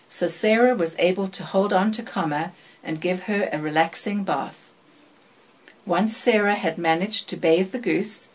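An older woman from South Africa with a South African accent tinged by British and American sounds, reading a passage and then recalling her life in a measured, even way with thoughtful pauses. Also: fairly low pitch, breathy edge, poor audio. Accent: South African, mixed with British and American. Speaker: accent archive speaker.

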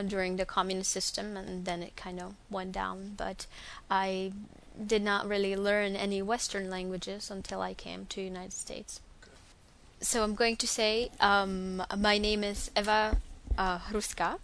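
A woman's voice: mid-range voice, a bit bright. Slovak-accented young woman reading aloud. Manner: careful, slightly hesitant with fillers and restarts. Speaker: dialect archive speaker. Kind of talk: reading a set passage, then casual self-introduction.

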